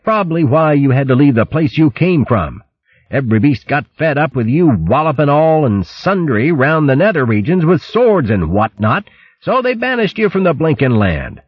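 High, blustering, indignant hare. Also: male voice, sing-song, moderate pace, husky, no echo, dull audio. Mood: huffy, scolding. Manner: blustering, theatrical, old-fashioned upper-class slang. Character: ragged, indignant male hare, blustering posh eccentric. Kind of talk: audiobook character voice.

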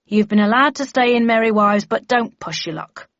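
An officious, stern male bellman, acted by a woman narrator declaims to his meeting in a storybook reading. The delivery is brisk and chairmanlike, recorded very dry with a slightly dull sound. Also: a little high, dry recording, pitched above the performer's own voice, lightly acted.

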